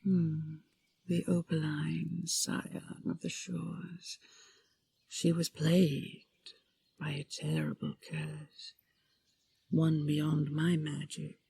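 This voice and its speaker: A woman voicing an ancient, imperious dragon queen in an audio roleplay, breathy and gravelly, with a regal, commanding manner. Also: deep voice, extremely slow, dark tone, a little hiss or hum, exaggerated voice. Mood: haughty, softening. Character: ancient imperious female dragon queen, stern, possessive, condescending.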